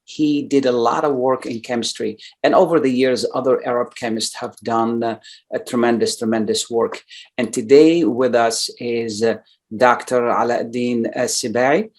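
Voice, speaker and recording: A man's voice: mid-range voice, fairly even intonation, moderate pace. A man hosting a radio interview, talking at length with many fillers and repeated phrases. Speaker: radio host.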